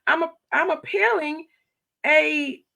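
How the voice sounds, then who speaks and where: Loud, gravelly woman commissioner. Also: high voice, takes it slowly. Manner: animated storytelling, acting out her own quoted replies. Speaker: county commissioner. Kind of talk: casual interview talk.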